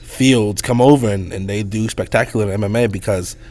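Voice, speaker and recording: A man hosting a casual sports podcast, talking through a hoarse voice in a loose, rambling way with lots of fillers. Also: on the low side, brisk, a little mellow. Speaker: podcast host.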